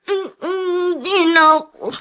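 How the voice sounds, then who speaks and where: Suffering young grandson: Filipino radio drama, piercingly high and bright, plaintive, whimpering. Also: female voice, slow pace, full character voice. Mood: pained, tearful. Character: frightened, suffering young schoolboy, tearful grandson.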